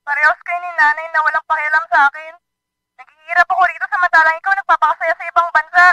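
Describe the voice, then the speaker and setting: A woman's voice: extremely high pitch, little pitch movement, fast talker, sharp tone, no echo, rough recording. A woman playing a rebellious teenage daughter who talks back to her father in a radio drama. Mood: resentful. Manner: defiant, sulky, talking back.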